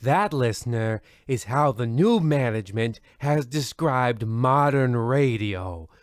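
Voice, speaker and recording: A slow-talking, formal radio mystery host played by a man, theatrical yet weary and a little disgruntled. The voice sits at a medium pitch in a dry, close recording. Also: expressive, dry recording. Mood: solemn, wry. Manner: formal, theatrical, dejected asides. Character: weary, wistful, disgruntled male host of a spooky radio show. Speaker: narrator and host of a radio anthology show. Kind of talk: old-time radio mystery host, scripted comedy.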